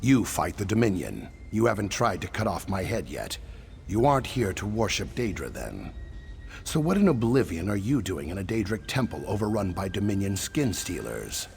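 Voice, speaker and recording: A man's voice: low voice, big pitch swings, normal speed, gravelly, a little mellow, slight background noise, full character voice. A man playing a wary, sardonic lizard-folk survivor in a video game, speaking with dry sarcasm. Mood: wary, wry. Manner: wry, dry sarcasm, clipped questions tagged on statements. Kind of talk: video game character dialogue.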